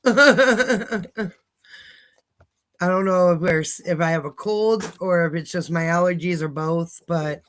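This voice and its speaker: A woman hosting a livestream who talks candidly about recovery, her voice hoarse and fading, rambling with many fillers. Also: on the low side, slow pace, long pauses. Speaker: livestream host. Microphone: phone.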